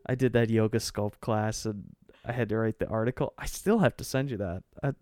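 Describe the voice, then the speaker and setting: A man's voice: fairly low pitch, a little fast, darkish tone, no room sound, clean studio sound. A young man with a Michigan accent chatting casually on a podcast, joking and laughing along. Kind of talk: casual chat.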